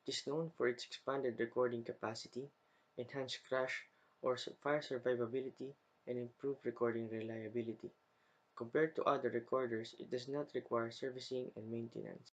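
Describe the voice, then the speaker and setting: A young adult man with a mid-pitched voice narrates a slide presentation from a script. He reads it out carefully, one phrase at a time, in the manner of a student presenting. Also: level tone, a little fast, highs cut off.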